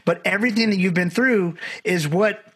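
A man's voice: sits high, moderate pace, fairly bright tone, husky. A man from Barbados, a documentary filmmaker, talking as a guest on a podcast and telling stories in a rambling, self-correcting way. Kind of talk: conversational podcast interview.

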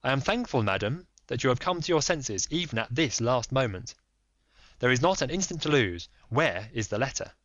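A man performing a composed detective who presses his point with quiet authority. The voice sits a bit above the narrator's. The recording is dry and muffled. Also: mid-range voice, big pitch swings, dry recording, pitched above the performer's own voice. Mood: urging. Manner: measured, insistent, authoritative. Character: calm, firm, commanding male detective. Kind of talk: audiobook reading.

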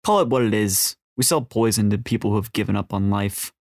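Tough female dealer played by a male narrator, crime audiobook: blunt, curt, low-voiced. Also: brisk, no echo, studio quality, close to an everyday voice. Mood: curt, dry. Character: tough, curt American woman drug dealer.

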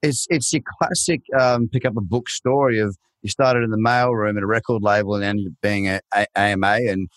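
A man's voice: fairly low pitch, steady tone, fairly quick, few pauses, no echo. A man hosting an interview, asking long encouraging questions in a chatty, rambling way. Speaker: podcast host.

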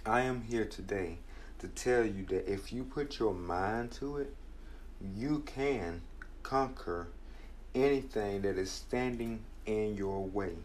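Slow, earnest young man. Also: on the low side, darkish tone, a little hiss or hum. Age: 20s. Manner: earnest, measured, reflective. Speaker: podcast host. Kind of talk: motivational personal talk.

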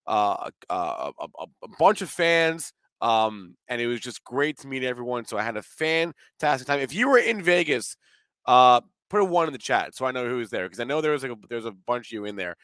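A hoarse man hosting a live show, chatting in an upbeat way with frequent restarts. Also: mid-range voice, very animated, fairly quick, fairly bright tone, no echo. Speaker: host. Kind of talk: casual live show talk.

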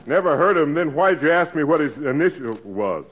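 An actor playing a suspicious, no-nonsense process server who questions bluntly in a 1940s radio comedy. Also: male voice, on the high side, lively intonation, brisk, natural voice. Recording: dull old radio recording.

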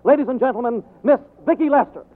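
Eager premiere announcer, high male voice, showy, 1940s radio drama, noisy narrow vintage recording. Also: quick pace. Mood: eager, ceremonious.

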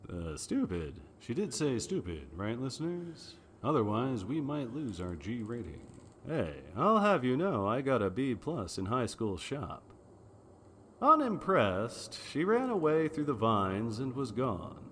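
A man's voice: mid-range voice, unhurried, long reverb tail, a little hiss or hum. A man playing a wry, wisecracking private detective, narrating in the style of a 1940s noir radio drama. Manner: wry, deadpan.

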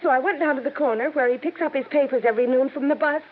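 An actress playing a distraught mother in a 1950s radio melodrama, rushing and pleading. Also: sits high, close to an everyday voice. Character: frantic, distraught mother of a missing boy, a woman. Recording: muffled 1950s radio recording, faint noise.

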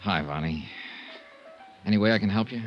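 An actor playing a confident, wisecracking insurance investigator in a 1950s American radio drama, brisk and wry. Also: male voice, lowish voice, lo-fi sound, close to an everyday voice. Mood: gentle, reassuring. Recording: 1950s radio broadcast with music.

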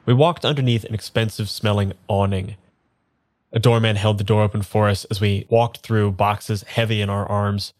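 A man's voice: on the low side, fairly even intonation, darkish tone, no echo, close to an everyday voice. A man playing a bewildered, earnest amnesiac in an improvised audio drama, speaking in an unsure, conversational way. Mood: reflective narration. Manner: halting, unsure, rambling. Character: bewildered, earnest man without his memory. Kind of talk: improvised audio drama dialogue and first-person narration.

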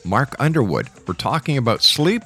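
A man's voice: medium pitch, big pitch swings, normal speed, a bit bright, husky. Guy doing radio host announcing, punchy showman phrasing, music under him.

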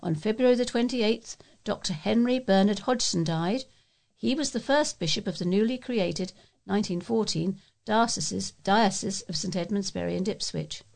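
Female volunteer newsreader, gravelly creak in a mid-pitched voice, reading scripted local items. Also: normal speed, darkish tone. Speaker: volunteer newsreader for a talking newspaper. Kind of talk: read-aloud scripted local news.

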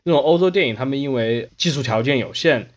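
A man's voice: mid-range voice, quick pace. A man talking casually as a podcast host, explaining at length and often rephrasing as he goes. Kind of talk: casual discussion.

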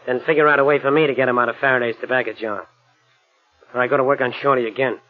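A man plays a double-crossing American hoodlum in a vintage radio thriller. He talks smug, tough slang in a medium-pitched voice. The recording is old and muffled. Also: steady tone, quick pace, soft background music, close to an everyday voice. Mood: threatening. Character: cocky, double-crossing male gangster, tough and sly. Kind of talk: 1940s radio crime drama acting.

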